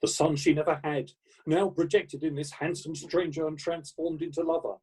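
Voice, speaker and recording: A man playing a husky, pompous theatre critic. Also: a little high, little pitch movement, moderate pace, darkish tone, poor audio. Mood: rapturous. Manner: pompous, grandiose. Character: pompous theatre critic declaiming his review.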